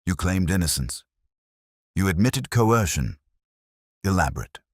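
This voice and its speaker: A man's voice: sits low, big pitch swings, measured pace, dry recording, clean studio sound, voice pushed up, heavily acted. A man voicing an imperious, shrewd detective in an audiobook, with formal, clipped, commanding pronouncements. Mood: stern, probing. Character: imperious, shrewd male detective, coldly judging.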